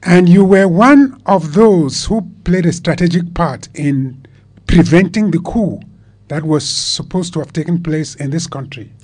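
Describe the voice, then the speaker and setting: A man's voice: on the high side, animated, unhurried, darkish tone. A man interviewing someone, asking conversational follow-up questions and checking details.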